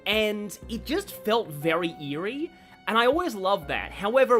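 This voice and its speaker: A woman streamer giving casual live game commentary, chatty and excitable, stammering as she goes. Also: mid-range voice.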